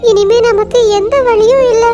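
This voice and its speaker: A woman voicing a caring older sister bird chick in a cartoon, calm and comforting. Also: very high-pitched, moderate pace, soft background music.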